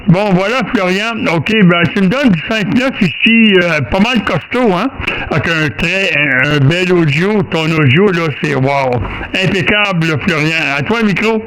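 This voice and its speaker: Higher-voiced Quebec man over noisy radio. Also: animated, unhurried, fairly bright tone. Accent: Quebec French. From Quebec, Canada. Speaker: amateur radio operator. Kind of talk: casual radio contact chat. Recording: clearly noisy amateur radio contact recording.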